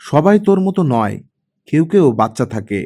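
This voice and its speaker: A man's voice: a little high, sing-song, normal speed, warm tone. A man voicing a worried, reproachful middle-aged mother with a cold, probing, scolding manner in an audiobook story.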